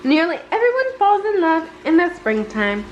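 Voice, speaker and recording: A woman's voice: extremely high pitch, very animated, moderate pace, highs cut off, slight background noise. A woman voicing a friendly, knowing owl in a children's bedtime story.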